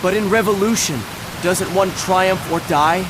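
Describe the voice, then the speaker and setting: An eager young soldier recruit. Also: male voice, sits high, unhurried, noisy background. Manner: eager and polite.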